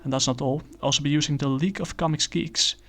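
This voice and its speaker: A man casually reviewing a comic book, talking in a halting way with many fillers and restarts. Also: on the high side, steady tone, a bit bright.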